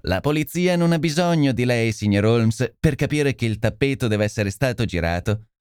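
A man's voice: mid-range voice, animated, moderate pace, darkish tone, clean tone, dry recording, studio quality, lightly acted. A man voicing a blustering male police inspector in an audiobook, brash and needling.

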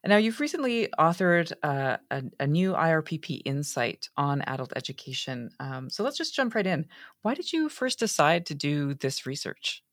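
A woman's voice: deep voice, very animated, moderate pace, no echo, clean studio sound. A woman hosting a podcast, reading a scripted intro and then asking interview questions with some hesitation. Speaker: podcast host.

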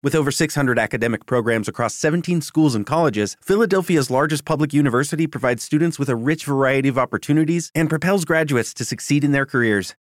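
A man's voice: a little high, fast, hardly any pauses, no room sound, clean studio sound. An American man reading scripted advertisement copy as a commercial announcer.

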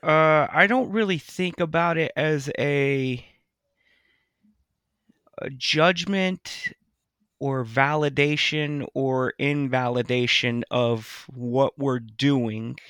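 A man's voice: medium pitch, slow, a bit bright, clear, resonant tone, dry recording, clean studio sound. A middle-aged man, a podcast co-host, chatting casually in a reflective, earnest way. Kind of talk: casual chat.

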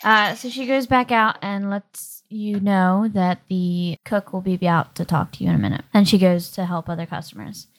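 Woman game master with medium-pitched voice. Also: normal speed, few pauses. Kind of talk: casual tabletop game narration.

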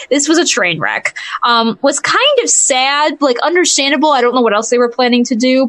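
A woman co-hosting a podcast in casual chat, talking in an animated, exclamatory way with emphatic repetition. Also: a little high. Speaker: podcast co-host. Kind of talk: casual chat about pop culture.

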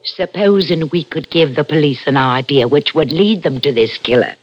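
A woman's voice: deep voice, expressive, normal speed, slightly rough. A woman acting a fretful, needling working-class wife in a vintage radio suspense drama, with dramatic, aggrieved delivery. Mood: gossipy, eager. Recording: dull lo-fi old radio broadcast.

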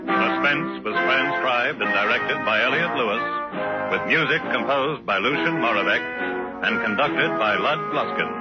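An American man announcing a 1950s radio show from a script, deliberate and dramatic. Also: mid-range voice. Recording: muffled 1950s radio broadcast, loud music.

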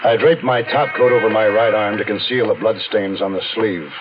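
A man's voice: fairly low pitch, steady tone, normal speed, heavy background noise, close to an everyday voice. An actor playing a wounded American man on the run, telling his crime story in terse first-person radio drama narration. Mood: grim, tense. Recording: dull 1970s radio recording.

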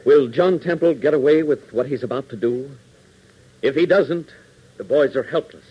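A man's voice: mid-range voice, normal speed, husky. An American man narrating a 1940s radio serial as its announcer, in a dramatic, punchy scripted style. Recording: dull 1940s radio recording.

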